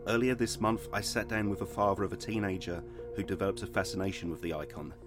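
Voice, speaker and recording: A man playing a low-voiced sober news reporter. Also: fairly even intonation, close to an everyday voice. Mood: sober, serious. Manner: serious, measured. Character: sober male television news reporter narrating a crime report. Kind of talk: scripted news report narration. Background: music bed.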